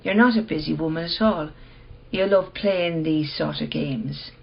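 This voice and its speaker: An actress playing a cool, probing woman who will not budge, measured and blunt, in a radio play. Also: sits low, vocal fry, lo-fi sound. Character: cool, probing, unyielding female owner of rare mirror.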